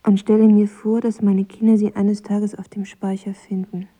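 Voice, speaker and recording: A woman's voice: medium pitch, level tone, normal speed, dark tone, slightly rough, lightly acted. An actress playing a reserved, wistful young woman in a radio drama, understated and introspective. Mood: wistful, reflective. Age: young adult. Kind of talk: radio drama dialogue and letter reading.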